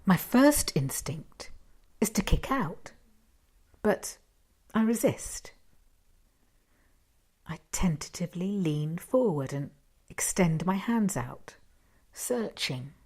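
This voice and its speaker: A woman narrates a first-person short story in a slightly low voice. Her reading is expressive, shaped by dramatic pauses and hushed asides. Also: slightly rough.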